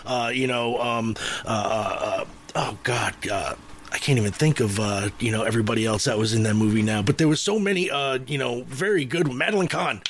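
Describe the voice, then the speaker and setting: A man hosting a casual podcast, talking in a halting, rambling, chatty way and often repeating himself. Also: medium pitch. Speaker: podcast host.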